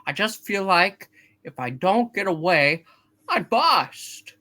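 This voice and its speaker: A man plays an eager young small-town dreamer in a radio play. His bright voice takes its time and swings widely in pitch, earnest and theatrical. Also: sing-song, slow pace, full character voice. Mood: dreamy, restless. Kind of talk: hammed-up live radio play reading.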